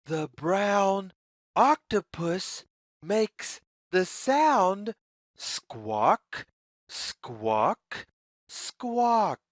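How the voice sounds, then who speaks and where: A woman reading a children's story aloud in a deliberate, singsong way, as if inviting young listeners to follow along. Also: mid-range voice, sing-song, dry recording, clean studio sound. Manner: deliberate, singsong, repetitive phrasing.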